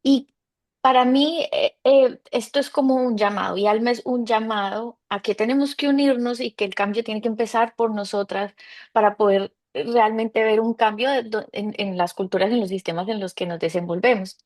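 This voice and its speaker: A woman's voice: on the high side, moderate pace, darkish tone. A woman hosting a podcast interview, asking her questions earnestly, with small stumbles and fillers. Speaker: podcast host.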